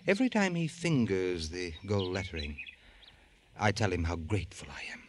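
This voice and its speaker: Bitter, cultured man, lowish voice. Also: huge pitch swings, moderate pace. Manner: precise, sardonic, theatrical. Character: bitter, cultured male doctor, resentful brother of a rich man. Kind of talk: old-time radio drama acting. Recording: old radio recording with faint noise.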